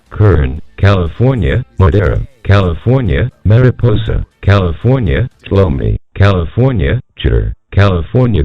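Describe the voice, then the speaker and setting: Deep, tinny text-to-speech man. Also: dry recording. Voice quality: flat, mechanical text-to-speech. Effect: computer voice. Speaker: emergency alert voice. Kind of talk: synthetic alert announcement. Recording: tinny, phone-like dry audio.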